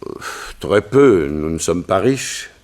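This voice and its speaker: A man's voice: deep voice, animated, slow, a little mellow. A man playing a middle-aged, troubled and dignified politician, in the formal, earnest manner of 1960s French radio drama. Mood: distressed. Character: troubled, dignified politician father of a teenage son.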